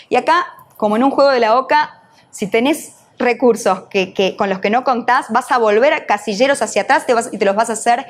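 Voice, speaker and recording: Una mujer con acento argentino, entrenadora que da un taller en vivo y le hace preguntas al público. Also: female voice, on the high side. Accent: Argentine Spanish. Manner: questions the audience, uses voseo, teacherly. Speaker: NLP trainer and coach. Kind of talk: live workshop talk.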